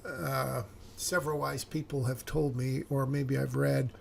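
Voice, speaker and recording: Man, screenwriter working aloud; a bit raspy and fry-heavy, halting, searching for words. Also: mid-range voice, level tone. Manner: musing, hesitant, self-correcting. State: slightly raspy voice. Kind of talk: thinking aloud while reviewing a script.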